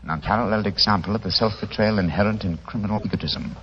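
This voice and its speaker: Muffled vintage radio drama, man as British gentleman sleuth, lowish voice, precise, commanding, self-assured. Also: fast talker, natural voice. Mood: assured, composed. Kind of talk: 1940s radio acting. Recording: muffled, noisy 1940s radio recording.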